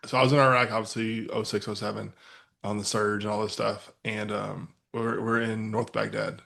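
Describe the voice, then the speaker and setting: A man's voice: fairly low pitch, little pitch movement, normal speed, gravelly, a bit bright. A man in his forties, a podcast host, telling a casual story with lots of fillers and restarts. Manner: rambling, many fillers and restarts.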